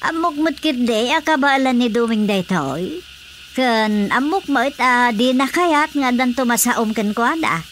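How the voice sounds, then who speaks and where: An older village wife with an Ilocano accent, gossipy yet reassuring. Her voice is fairly high, in radio drama style, with a faint layer of noise behind it. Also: animated, a little slow, fairly bright tone.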